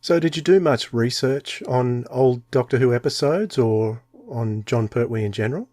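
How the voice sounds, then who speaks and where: A man's voice: medium pitch, normal speed, warm tone, faint music behind. A man putting questions to a guest as a podcast interviewer.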